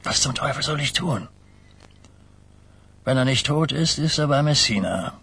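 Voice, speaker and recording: A man playing an old, dignified mafia godfather in a radio crime drama, weary and grave, speaking in a measured, husky voice. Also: mid-range voice, animated, sharp tone, full character voice. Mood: worried, weary. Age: older.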